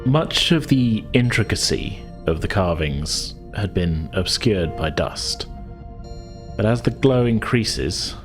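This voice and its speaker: A very low-voiced man runs a tabletop sci-fi game as game master. He describes scenes conversationally and feels his way through phrases, with music clearly playing behind him. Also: expressive, takes it slowly.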